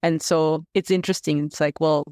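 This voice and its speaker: A man's voice: fairly high pitch, fairly even intonation, brisk, a little mellow, clean tone, no echo, studio quality. A man from Russia, whose first language is Russian, chatting as a podcast guest, rambling with many fillers and restarts. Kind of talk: casual interview chat.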